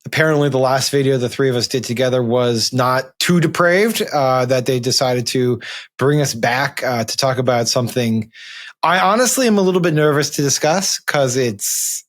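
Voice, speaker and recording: A man's voice: mid-range voice, moderate pace. An American man hosting a casual news panel in a conversational, ribbing manner. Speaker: panel host steering discussion.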